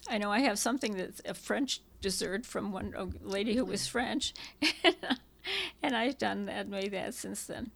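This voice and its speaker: An older woman whose first language was Czech, recalling her past in an interview in a halting, reminiscing way with many restarts. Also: mid-range voice, animated, vocal fry, fairly bright tone. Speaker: interviewee, hiking club member. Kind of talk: oral history interview.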